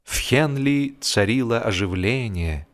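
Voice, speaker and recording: A man reading a humorous story as an expressive audiobook narrator, theatrical and measured, with dramatic pauses. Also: medium pitch. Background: background music.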